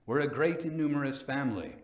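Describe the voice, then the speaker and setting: A man's voice: medium pitch, brisk, reverberant, distant mic, close to an everyday voice. A man acting a cunning avenger who feigns tender concern, speaking in a solicitous, emphatic way in a gothic tale. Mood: courteous. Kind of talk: dramatic gothic storytelling.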